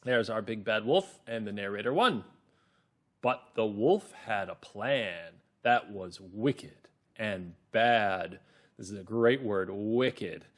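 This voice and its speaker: A man hosting a children's reading lesson as a teacher, explaining and narrating encouragingly, with drawn-out emphasis. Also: lowish voice, lively intonation. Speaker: teacher and host.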